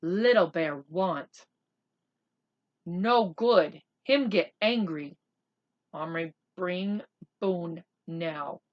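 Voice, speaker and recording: A woman's voice: on the low side, very slow, pauses often, dull audio. A woman voicing a stern, demanding tiny woman who talks in terse broken English in a children's storybook. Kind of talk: children's storybook read-aloud.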